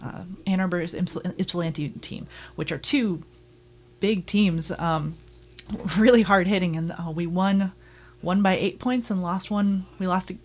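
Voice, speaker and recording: A woman with a slightly low voice speaking as an interview guest. She talks in an upbeat, fluent way with frequent fillers. Her tone stays casual. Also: measured pace. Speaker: roller derby team member, guest.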